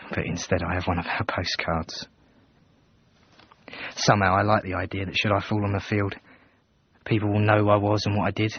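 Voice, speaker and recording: An actor playing a wistful, devoted English soldier reciting a letter from the front, husky, reflective and measured, in a radio drama. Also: male voice, low-pitched, dry recording, dull audio.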